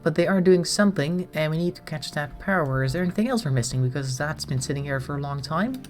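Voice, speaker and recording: A woman with a low voice, a little congested from a cold, gives casual game commentary. She chats to herself as she manages things, with soft music playing faintly behind her. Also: animated, fast. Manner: chatty, talks to herself while managing the colony. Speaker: streamer.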